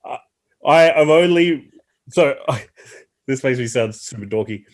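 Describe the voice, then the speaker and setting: A young adult man from Tasmania with an Australian accent, chatting casually as a podcast guest, relaxed and self-deprecating. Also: medium pitch, measured pace. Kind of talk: casual chat.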